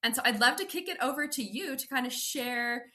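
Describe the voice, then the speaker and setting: A woman's voice: high voice, brisk, sharp tone. A woman in her forties hosting a podcast interview, talking in an enthusiastic, effusive way. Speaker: podcast host.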